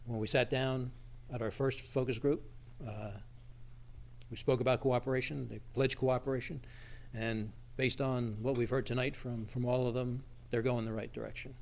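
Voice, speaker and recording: A man's voice: medium pitch, little pitch movement, moderate pace, vocal fry, darkish tone. A man arguing his view off the cuff as an advisory member at a public meeting, emphatic and opinionated. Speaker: advisory committee member presenting a report. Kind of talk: unscripted discussion at a public meeting. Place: public township meeting room.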